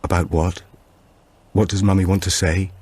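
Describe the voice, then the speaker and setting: Man playing an anxious, low-voiced father, gently insistent. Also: little pitch movement, moderate pace, husky. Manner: quiet, careful questioning.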